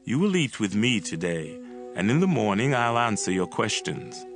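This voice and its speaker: An actor playing a calm, authoritative male prophet in dramatized scripture, assured and hospitable. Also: fairly low pitch, normal speed, over music.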